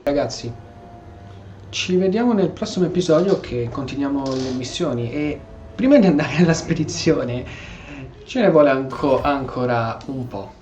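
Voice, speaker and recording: A man's voice: mid-range voice, expressive, moderate pace, a little mellow, slight background noise. A man with an Italian accent giving casual, informal gameplay commentary as a streamer. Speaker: gaming streamer. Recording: roomy echo, faint music behind.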